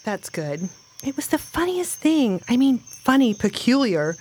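Animated, flustered pregnant young wife. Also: female voice, a little high, unhurried. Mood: puzzled, uneasy. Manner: expressive, flustered. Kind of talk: radio drama acting. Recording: faint background noise.

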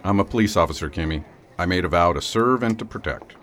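A man's voice: sits low, lively intonation, quick pace, fairly bright tone. A man acting as a wry, folksy, kindly small-town sheriff in a radio drama. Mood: kindly, reassuring.

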